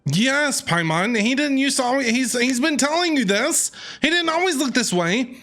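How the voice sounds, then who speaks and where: A man streaming a video game, reacting excitedly in casual commentary and talking back to the characters. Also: very high-pitched, normal speed. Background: game music.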